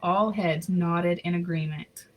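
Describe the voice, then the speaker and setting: A woman reading a children's picture book aloud in an expressive, unhurried, sentimental way, with casual asides. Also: lowish voice, poor audio. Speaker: storybook reader.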